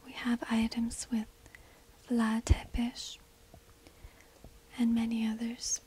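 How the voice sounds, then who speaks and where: A woman whispering breathily in a hushed, deliberate ASMR narration, close to a binaural microphone. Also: fairly high pitch, completely flat delivery, slightly rough, low-quality recording. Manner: slow, deliberate, hushed delivery. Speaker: ASMR video host and shopkeeper.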